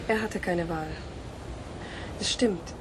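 A woman's voice: lowish voice, slow pace, noisy background. An actress playing a guarded, restrained female officer in a 1970s German radio drama.

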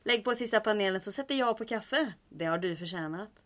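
An actress playing a capable, wry secret heroine pilot, brisk and teasing, in old-time radio adventure style. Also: female voice, medium pitch, animated, a bit bright, natural voice.

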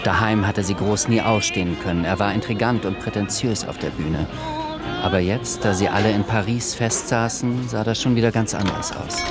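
A man narrating a literary radio drama in a measured, deliberate storytelling manner. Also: lowish voice, over loud music.

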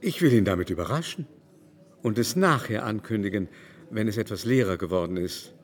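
An actor playing a vain, effusive baron and aesthete in a radio drama, talking in an affected, exclamatory way. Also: male voice, lowish voice, expressive, fairly quick, close to an everyday voice. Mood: smug, secretive. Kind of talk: literary radio drama acting. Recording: studio recording with music behind.

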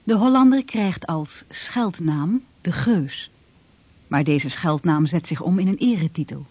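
A man's voice: a little high, a little mellow, dry recording. Solemn male radio-play narrator, lo-fi. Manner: solemn, measured. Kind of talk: scripted historical radio drama narration. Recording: dull lo-fi radio recording with music.

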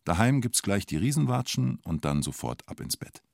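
An actor as the storyteller of a documentary radio drama, narrating in short, punchy, colloquial present-tense phrases with a vivid manner. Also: male voice, fairly low pitch, big pitch swings, moderate pace, gravelly, darkish tone, no room sound, studio quality. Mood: vivid storytelling. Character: storyteller recounting a Munich bank siege.

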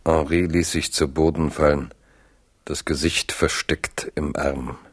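A man narrating a literary radio drama in a measured, grave storytelling manner. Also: extremely low pitch, steady tone.

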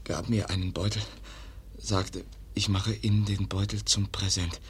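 A man's voice: low-pitched, little pitch movement, a little slow, slight background noise. An actor in a German radio drama playing a wounded young man, weak and in pain, gasping out broken, clipped sentences. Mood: weak, labouring.

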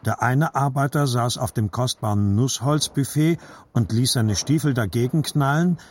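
A man reading a literary story as a scripted audiobook narration, with a husky voice. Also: mid-range voice, moderate pace, a little mellow, slight background noise.

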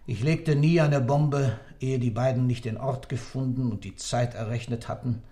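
A man plays an elderly accused man in a German radio drama, a self-styled god. His medium-pitched voice is measured and ironic. He speaks with proud, cryptic superiority. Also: steady tone, mellow, natural voice. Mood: proud, confiding. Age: older. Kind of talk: German radio play acting.

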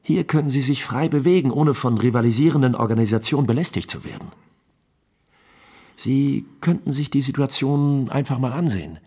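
An actor playing an affable, talkative captain of the imperial guard in a German radio drama, chatty, courteous and persuasive, with a husky voice. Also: male voice, mid-range voice, animated, fairly quick, dark tone. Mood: confiding.

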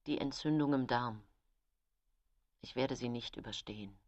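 A woman's voice: rumbling low, moderate pace, a little mellow, dry recording, lo-fi sound. An actress playing an embittered, pleading woman in a grim historical radio drama. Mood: desperate.

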